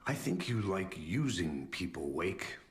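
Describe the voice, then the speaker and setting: Casting: middle-aged federal agent, very low and slow, gruff, sardonic, bitter, game drama. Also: male voice, very animated, a little hiss or hum. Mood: bitter, accusing. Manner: sardonic, accusing, terse. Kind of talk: cinematic video game acting.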